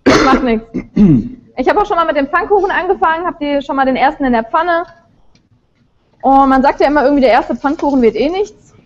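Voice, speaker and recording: A woman's voice: high voice, brisk, pauses often, lo-fi sound. A woman co-hosting a cooking show in a studio kitchen, upbeat and encouraging while cooking.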